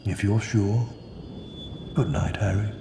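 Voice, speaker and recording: A man playing a wise old headmaster wizard in a video game, calm, gentle and measured. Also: lowish voice. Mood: calm, gravely kind. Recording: echoing hall, faint noise.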